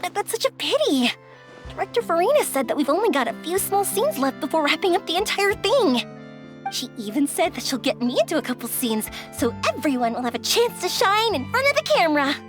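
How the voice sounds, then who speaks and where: A woman's voice: very high-pitched, sing-song, brisk. A woman voicing a bubbly, eager young film crew member in a video game. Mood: wistful, eager. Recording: prominent game music behind the voice. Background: music.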